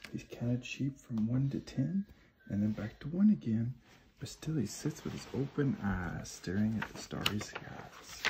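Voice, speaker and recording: A man reading a picture book to a small child at bedtime in a gentle, often hushed sing-song, recorded on a phone in a room at home. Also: medium pitch. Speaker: parent reading to a toddler. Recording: noisy home phone video with clicks.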